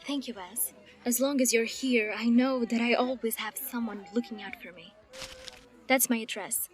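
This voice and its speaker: A woman playing a calm, commanding captain. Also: on the high side, normal speed, natural voice. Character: steady, caring young female captain. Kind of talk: audio drama acting.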